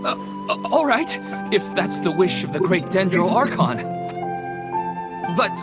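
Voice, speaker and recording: A deferential townswoman in a video game. Her delivery is slow, hesitant and inquisitive, with very lively swings in pitch under loud music. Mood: flustered, concerned. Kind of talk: video game character dialogue.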